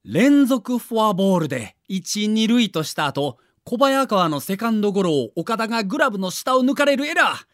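A man's voice: high voice, very animated, normal speed, hardly any pauses, fairly bright tone, no echo, studio quality. A man giving dramatic scripted narration for an audio documentary.

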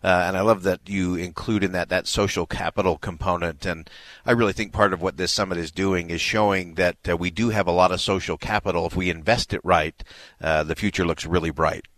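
A man's voice: deep voice, steady tone, brisk, fairly bright tone, no room sound. A man hosting a live radio broadcast, speaking in an earnest, affirming way as he interviews and comments. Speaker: radio host.

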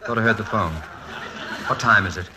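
An American actor playing a witty, proud, fretful middle-aged college president and husband in a wry, articulate 1940s radio comedy-drama style. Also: male voice, lowish voice, fairly even intonation, brisk, close to an everyday voice. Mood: restless, wry. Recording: noisy 1940s radio recording.

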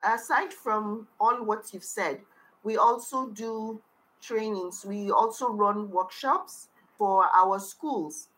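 A woman's voice: mid-range voice, takes it slowly, fairly bright tone, low-quality recording. A woman with a Nigerian accent, the founder of a charity, answering interview questions in a heartfelt way and often repeating phrases.

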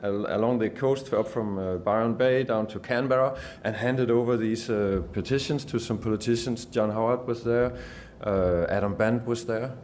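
A man's voice: fairly low pitch, moderate pace, few pauses, darkish tone, a little hiss or hum. A man with a Danish accent giving an earnest conference speech as a journalist, often restarting and repeating words. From Denmark. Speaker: journalist giving a summit talk.

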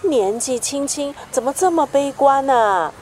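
A woman's voice: sits high, animated, unhurried, a little mellow, slight background noise. A woman playing a chatty, teasing, pushy best friend in a Mandarin radio drama. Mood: playful. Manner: teasing, exclamatory.

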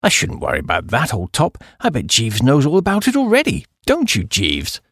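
Man as a breezy upper-class English gentleman, wildly animated. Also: mid-range voice, normal speed, breathy edge, no echo, studio quality, full character voice. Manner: breezy, slangy.

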